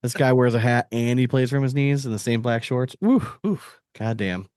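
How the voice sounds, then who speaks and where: A man's voice: mid-range voice, normal speed, a little mellow, no echo, studio quality. A man co-hosting a podcast in casual banter, swearing freely and airing blunt opinions. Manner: profane, opinionated. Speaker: podcast co-host.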